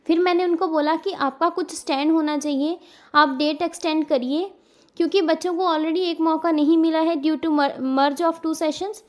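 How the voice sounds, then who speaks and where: A woman with an Indian accent and a very high voice speaks directly to students like a coaching educator. She explains things informally but earnestly, stressing her points and going back over them so they are clear. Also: steady tone, normal speed. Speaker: exam coaching educator giving an update to students. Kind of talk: informal explanatory update addressed to viewers.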